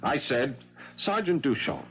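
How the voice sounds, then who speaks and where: An actor playing an ambitious, excitable young French policeman in a 1970s radio mystery, with emphatic, dramatic phrasing. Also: mid-range voice, unhurried, slight background noise, close to an everyday voice, low-quality recording. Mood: earnest, boastful. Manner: dramatic, emphatic delivery in short urgent phrases.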